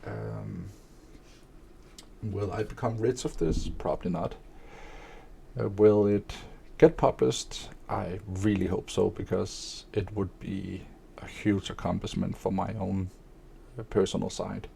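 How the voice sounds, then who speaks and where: Guy talking slow and flat, kinda low voice, lots of ums, streamer rambling. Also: vocal fry, a little hiss or hum. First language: Danish. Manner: monotone; hesitant, many fillers. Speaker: streamer and aspiring author. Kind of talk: casual spoken monologue.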